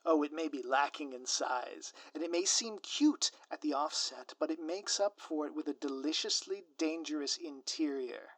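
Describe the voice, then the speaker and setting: A man's voice: on the high side, animated, moderate pace, few pauses, fairly bright tone, dry recording. A man playing a grandiose, scheming supervillain in an audio roleplay, with florid, pompous, melodramatic delivery. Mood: theatrical, taunting. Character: grandiose theatrical male supervillain, lovesick and scheming. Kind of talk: scripted audio roleplay monologue.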